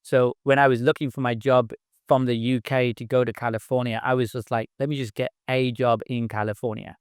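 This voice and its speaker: A man's voice: mid-range voice, little pitch movement, a little fast, clean tone, no echo, studio quality. Chatty Birmingham-accented middle-aged man. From England. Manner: chatty, frequent "right?" tags and light laughs. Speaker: startup founder, guest. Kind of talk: casual podcast interview.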